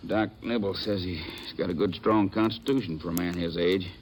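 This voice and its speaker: A man's voice: low voice, fairly even intonation, moderate pace, a little mellow, close to an everyday voice. An actor playing an earnest, flustered frontier townsman in a 1950s radio western, stumbling and repeating himself in folksy speech. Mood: agitated, concerned. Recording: noisy old radio recording.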